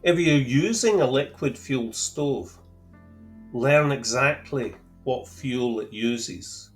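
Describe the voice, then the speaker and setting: A man with a Scottish accent explaining fuel terms to camera in a careful, deliberate way, with a lightly wry touch. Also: mid-range voice, lively intonation, soft background music. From Scotland. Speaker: outdoor gear channel host. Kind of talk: measured explanatory talk to camera.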